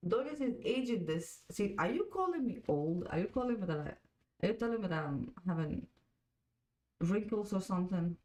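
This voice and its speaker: A woman's voice: medium pitch, lively intonation, moderate pace, darkish tone, low-quality recording. A woman in her twenties, a Croatian native speaker, hosting a casual fan watch-along and chatting with viewers, laughing often as she reads out their comments. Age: 20s. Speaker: fan panel host.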